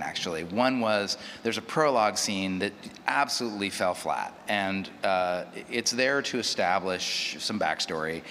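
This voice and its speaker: A man's voice: lowish voice, fairly bright tone. A man speaking as a producer on a panel, explaining things through anecdotes in a live hall. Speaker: audio drama producer on a panel. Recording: echoey hall, clear ambient noise.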